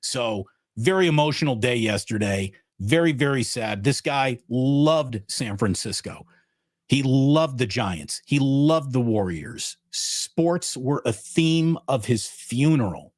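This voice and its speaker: A mid-pitched man co-hosting a casual sports talk show. He talks emphatically and repeats phrases to drive a point home. The sound is dry and close. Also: lively intonation, slow, no room sound.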